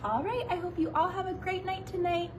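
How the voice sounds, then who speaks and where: A woman's voice: extremely high pitch, steady tone, fairly quick, recorded from a distance, noisy background. A woman reading a children's picture book aloud as a kindergarten teacher, with playful asides to young listeners. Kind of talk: expressive children's storybook read-aloud.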